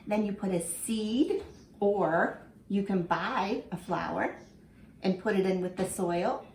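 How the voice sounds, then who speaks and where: A woman teacher reading a picture book aloud to children in an animated way, stopping to ask them questions. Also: mid-range voice. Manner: animated, asks the listener questions. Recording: echoey room with faint ambience.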